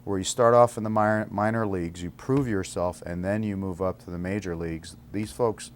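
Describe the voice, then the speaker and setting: A man's voice: deep voice, steady tone, fairly quick. A man speaking as a union spokesman, giving answers in an interview. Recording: noticeable ambient background noise.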